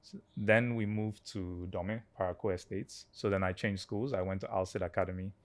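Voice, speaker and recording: Ghanaian man, 30s, low-pitched founder guest, reflective and conversational. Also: steady tone, normal speed. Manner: thoughtful, with fillers and restarts. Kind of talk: casual interview chat.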